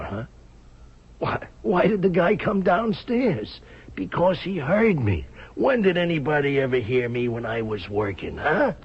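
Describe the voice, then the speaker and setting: A man's voice: a little high, breathy edge, full character voice. A man playing a desperate small-time safecracker in a radio drama, stammering and pleading in streetwise slang. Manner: stammering, repetitive, wheedling pleas. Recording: dull lo-fi radio drama recording.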